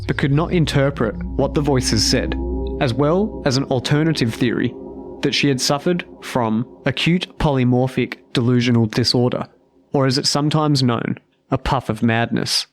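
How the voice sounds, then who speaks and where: A man narrating a scripted true-crime story with measured, deliberate phrasing. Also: medium pitch, faint music behind.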